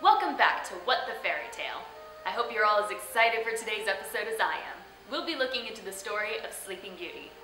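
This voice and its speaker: Animated, bright-voiced woman hosting. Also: mid-range voice, quick pace. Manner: theatrical, tongue-in-cheek. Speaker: host and storyteller. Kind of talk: scripted comic narration. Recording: roomy echo, faint music behind.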